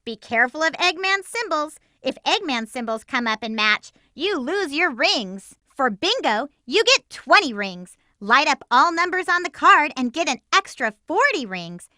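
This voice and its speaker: A woman's voice: high voice, big pitch swings, moderate pace, hardly any pauses, bright, forceful tone, dry recording, studio quality, full character voice. A woman voicing a plucky, bossy young female cartoon hedgehog in a video game, with a peppy, emphatic, girlish delivery. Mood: perky, instructive. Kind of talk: animated game voice lines.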